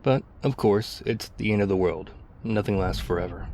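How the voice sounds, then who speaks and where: A man playing a battle-worn, wistful ex-soldier survivor of a zombie apocalypse, confiding haltingly and reflectively in an intimate ASMR roleplay. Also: on the low side, a little mellow, close to an everyday voice. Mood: regretful, sad.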